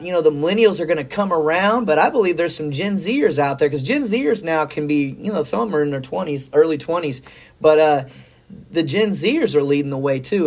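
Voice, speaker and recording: A hoarse middle-aged man, a pastor co-hosting a podcast, talking in a rambling, folksy and enthusiastic way about faith and church news. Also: on the high side. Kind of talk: casual faith talk.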